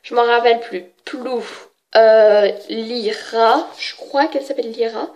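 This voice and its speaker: A woman's voice: fairly high pitch, steady tone, unhurried, a little mellow. A woman with a cold chatting casually as she shows off her manga books, hesitant and full of fillers and self-corrections. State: has a cold. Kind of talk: casual show-and-tell.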